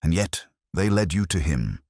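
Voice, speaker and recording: A man voicing a shrewd, grave detective in an audiobook, speaking deliberately and pressing his point. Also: sits low, big pitch swings, vocal fry, darkish tone, dry recording, studio quality, exaggerated voice. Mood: grave, probing. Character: shrewd, grave, probing male Victorian detective. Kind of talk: audiobook character dialogue.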